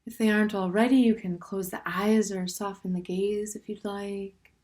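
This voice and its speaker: Woman teaching meditation, mid pitched, soft breathy endings, takes her time, real gentle and easygoing about it. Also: fairly even intonation. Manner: gentle, unhurried, permissive phrasing with pauses. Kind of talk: guided standing meditation.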